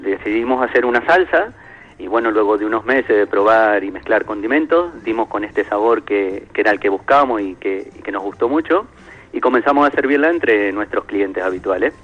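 A man's voice: lowish voice, lively intonation, brisk, dull audio. A man from Argentina with an Argentine accent, talking as a guest about his family's sauce, hesitant and full of fillers and restarts. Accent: Argentine Spanish.

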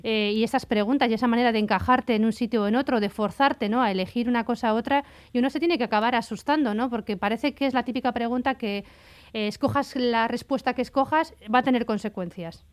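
A woman radio host asking measured, thoughtful questions in an interview. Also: a little high.

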